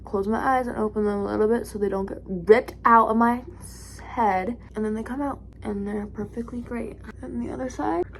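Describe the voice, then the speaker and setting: A young woman with a raspy voice, chatting casually through a makeup tutorial, rambling and correcting herself as she goes. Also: a little high, moderate pace, a little hiss or hum, poor audio. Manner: rambling, self-correcting, lots of "like". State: raspy today. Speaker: vlogger.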